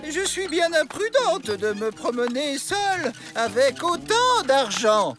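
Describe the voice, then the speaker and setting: A woman playing a helpless, hammy lady victim in an animated cartoon, affected and melodramatic. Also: high voice, takes it slowly, over music, exaggerated voice.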